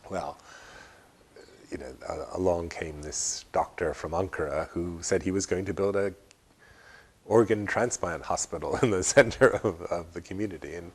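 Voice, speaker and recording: A man giving unscripted interview commentary in a deliberate, wry way, often halting and repeating words. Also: low voice, husky.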